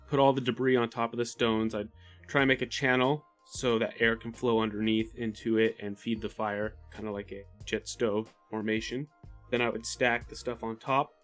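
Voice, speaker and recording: A man's voice: medium pitch, fairly bright tone. Man describing game actions in detail. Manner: detailed, explanatory. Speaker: player in a tabletop game podcast. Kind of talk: casual descriptive roleplay narration.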